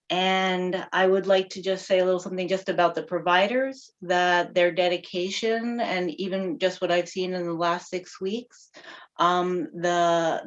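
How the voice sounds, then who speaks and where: A woman who grew up in Connecticut, a school readiness liaison presenting at a public meeting in an earnest way, with many ums and restarts. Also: lowish voice. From Norwalk, Connecticut, USA. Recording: muffled, dull-sounding audio.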